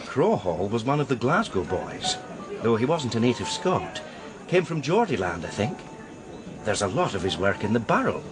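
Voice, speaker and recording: An actor playing a discreet male art dealer in a radio crime drama, speaking in a deliberate, hesitant, euphemistic way. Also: medium pitch, sing-song, music in the background, close to an everyday voice. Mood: informative, curious. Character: male art dealer George, heartbroken, guarded, discreet old friend.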